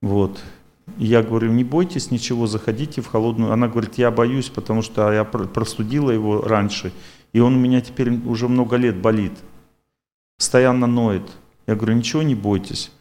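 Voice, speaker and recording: A man's voice: mid-range voice, fairly even intonation, brisk, a little mellow. A man giving confident, repetitive step-by-step advice to a caller, lecture style. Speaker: wellness lecturer answering a caller.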